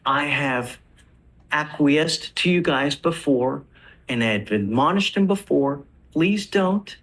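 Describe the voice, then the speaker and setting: Stern male judge, slightly husky. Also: a little high, slow, a bit bright. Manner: stern, admonishing. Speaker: judge presiding in court. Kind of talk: courtroom exchange, addressing lawyers and defendant. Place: courtroom.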